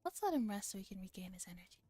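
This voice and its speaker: A woman streamer reading a visual novel aloud in a soft, relaxed ASMR style, sometimes slipping into a whisper, with casual chat. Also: medium pitch, very fast, slightly rough, no echo. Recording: music playing behind the voice. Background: music.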